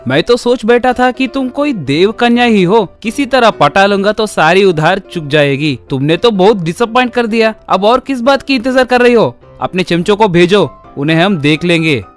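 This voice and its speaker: An actor playing a brash, scheming, idle young village man with a cheeky, excitable manner in a comedy story. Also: high voice, normal speed, faint music behind. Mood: excited.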